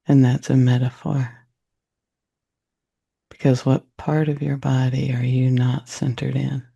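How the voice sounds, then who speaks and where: A man leading a guided meditation in a soft, unhurried, reflective way, with long pauses and a gravelly, husky voice. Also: mid-range voice, level tone, dull audio.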